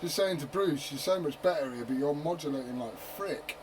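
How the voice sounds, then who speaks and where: A man's voice: fairly high pitch, a little fast. A man chatting casually and swearing freely over CB radio in slangy talk. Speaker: amateur CB radio operator. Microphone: CB radio set. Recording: noisy CB radio transmission, heavy static.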